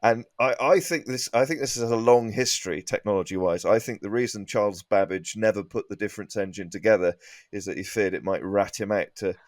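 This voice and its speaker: A man, a comedy podcast guest, riffing in a halting, mock-exasperated manner in casual panel chat. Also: on the low side, no echo, clean studio sound.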